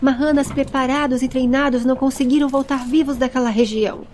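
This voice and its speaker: A woman's voice: high voice, fairly even intonation, a little fast, a little mellow, slight background noise, natural voice. A woman voicing a hot-tempered, protective male traveller in an audio story, scolding in an angry, emphatic way.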